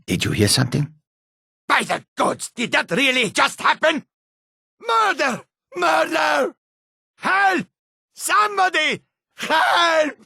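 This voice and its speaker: A man voicing a wary, combative male cat-folk character from a fantasy video game, with a gravelly, raspy voice and exotic, formal phrasing. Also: very high-pitched, sing-song, slow, long pauses, bright, forceful tone, no room sound, raised voice, clean studio sound, full character voice. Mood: wary, searching, then panicked.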